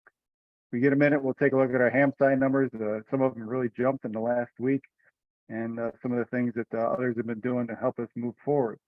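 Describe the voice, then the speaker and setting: A man runs an informal online meeting, talking in a relaxed, colloquial way at a medium pitch. The sound is dull and lo-fi, like a dry conference call with very little room. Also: fairly quick, no echo. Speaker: meeting chair.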